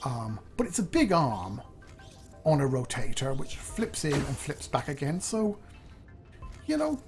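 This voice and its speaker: A slightly hoarse man, thinking aloud in chatty, self-deprecating gameplay commentary as host. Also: fairly high pitch, very animated, unhurried, music in the background. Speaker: let's play host.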